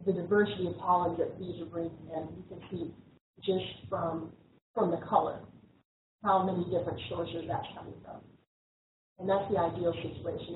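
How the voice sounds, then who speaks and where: A woman giving a relaxed slide lecture on bees, with frequent ums and restarts. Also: fairly low pitch, a little hiss or hum. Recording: echoey, tinny, distant room recording.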